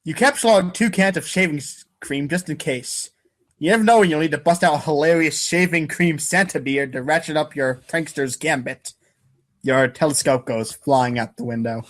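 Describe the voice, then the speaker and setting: A man's voice: a little high, normal speed, a bit bright. A man giving a playful, theatrical, exclamatory reading of a comic as its narrator. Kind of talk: playful dramatic reading of a webcomic.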